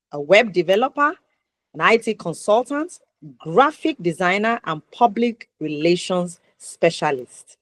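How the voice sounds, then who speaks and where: A woman with a Nigerian accent hosting an interview show, speaking in deliberate, emphatic phrases and posing rhetorical questions. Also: medium pitch, no room sound. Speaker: talk show host.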